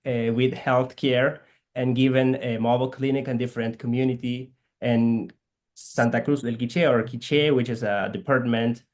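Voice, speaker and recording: A man from Guatemala with a Guatemalan Spanish accent, whose first language is K'iche', speaking haltingly as an interview guest and searching for words. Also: mid-range voice, fairly even intonation. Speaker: guest, physical therapist missionary. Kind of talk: conversational interview.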